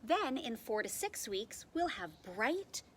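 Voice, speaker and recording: A woman voicing a kindly, patient schoolteacher in a children's storybook, gentle and instructive. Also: fairly high pitch, very animated, takes it slowly, slight background noise. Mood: patient, encouraging. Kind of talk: children's storybook read-aloud.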